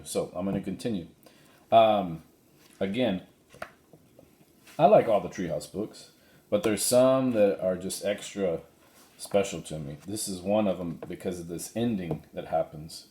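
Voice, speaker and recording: Male teacher, expressive children's read-aloud, low mellow voice, roomy sound. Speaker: teacher reading a children's book to students.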